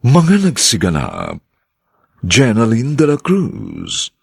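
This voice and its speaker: A man announcing a radio drama in a formal, drawn-out delivery. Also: medium pitch, wildly swooping pitch, gravelly, husky.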